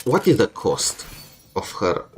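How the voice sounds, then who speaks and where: A man's voice: on the low side, huge pitch swings, unhurried, slight background noise. A man thinking aloud in casual, mildly grumbling commentary as he plays a video game. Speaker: gamer streaming a playthrough. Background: game music.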